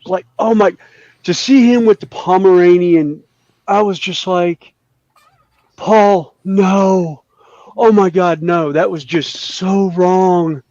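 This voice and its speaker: A man's voice: sits high, fairly even intonation, slow pace, a little mellow, dry recording, highs cut off. A man in his fifties joking animatedly in a casual group chat. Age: 50s. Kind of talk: casual chat on a live stream.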